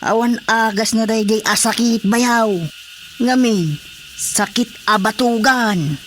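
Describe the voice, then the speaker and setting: A man's voice: sits high, takes it slowly, fairly bright tone. A man playing an overworked, fed-up husband in a radio drama, grumbling plaintively in an Ilocano accent. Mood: resigned grumbling. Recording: faint background noise.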